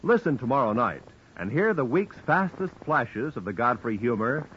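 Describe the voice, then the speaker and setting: A man announcing in polished, emphatic 1940s-50s radio style, reading a formal scripted promo. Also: medium pitch. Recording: muffled, noisy old radio broadcast recording.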